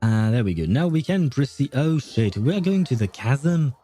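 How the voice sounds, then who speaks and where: Mellow, rambling man streaming casually. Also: medium pitch, very animated, normal speed, soft background music. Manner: rambling, often losing his train of thought. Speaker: livestream host. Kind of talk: casual game stream chat.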